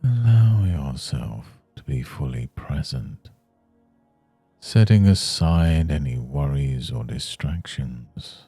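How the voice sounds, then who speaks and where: A man leads a guided meditation in an extremely deep, husky voice. He speaks slowly and soothingly, leaving long pauses between measured, scripted phrases. Soft music plays faintly behind him. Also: animated, dry recording.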